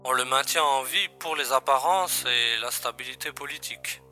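A man's voice: medium pitch, normal speed, sharp tone, soft background music. An actor playing an agent's wry, familiar and matter-of-fact male colleague in a science-fiction audio drama. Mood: gossipy.

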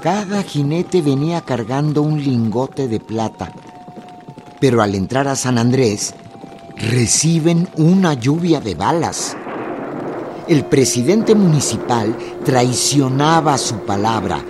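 A man's voice: mid-range voice, expressive, slow pace, music in the background. A man narrating a historical radio drama in a scripted, dramatic style.

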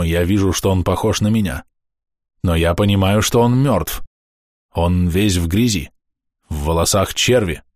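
A man reading a first-person diary aloud in a calm, matter-of-fact, measured way. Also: low-pitched, no echo, clean studio sound. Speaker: audiobook narrator.

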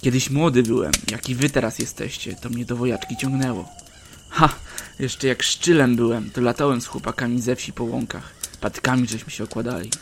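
A man voicing an aging war veteran who tells travelers about his first battle in measured, old-fashioned storyteller speech. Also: mid-range voice, gravelly, a little hiss or hum, lightly acted. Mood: reminiscing. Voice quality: measured, archaic storyteller's delivery.

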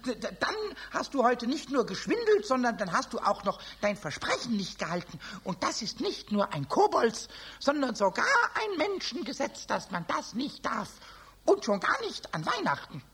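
A man playing a cheeky, stubborn, childish little kobold in a children's radio play, squeaky and raspy, excitable, stammering and repeating words. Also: normal speed, exaggerated voice. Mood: indignant.

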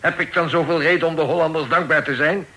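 A sharp, forceful pirate lord. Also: male voice, on the high side, fairly even intonation, quick pace, rough recording. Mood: stern, irritated. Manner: curt, commanding. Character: imperious pirate lord, stern brusque father. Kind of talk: Dutch radio drama acting.

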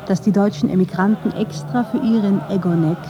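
A woman's voice: medium pitch, fairly even intonation, fairly quick, muffled recording, crowd noise. A woman reading scripted narration for a radio documentary.

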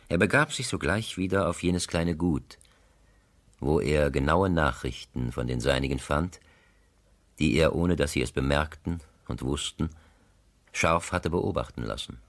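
A man's voice: a bass voice, fairly bright tone, full character voice. A man delivering scripted literary narration for a 1970s German radio play.

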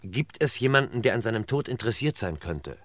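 An actor playing a courteous, probing investigator in a radio crime play, speaking politely with thoughtful hesitations. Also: male voice, medium pitch, lively intonation, a little fast, fairly bright tone, no room sound, natural voice. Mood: polite, pressing. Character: courteous, probing male investigator questioning a widow.

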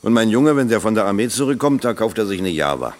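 An actor playing a kindly, gruff middle-aged factory worker in a German radio play, plain-spoken and helpful. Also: male voice, lowish voice, very animated, fast talker. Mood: gruff kindness.

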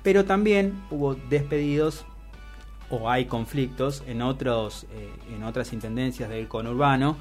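A man with an Argentine accent delivers news commentary as a radio co-host. He speaks in a mid-range voice, haltingly, doubling back on his phrases. Soft guitar music plays behind him. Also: mid-range voice. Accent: Argentine Spanish.

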